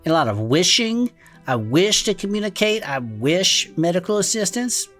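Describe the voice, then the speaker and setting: Low-voiced, animated woman trading wry banter. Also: moderate pace, faint music behind. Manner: wry, quippy interjections. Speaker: podcast co-host. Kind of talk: casual comedic banter.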